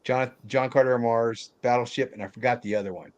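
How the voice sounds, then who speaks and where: A man chatting casually as a panelist on a film discussion, in a halting way with phrases that trail off. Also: medium pitch, fairly even intonation, darkish tone. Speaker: podcast panelist discussing films. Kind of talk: casual chat.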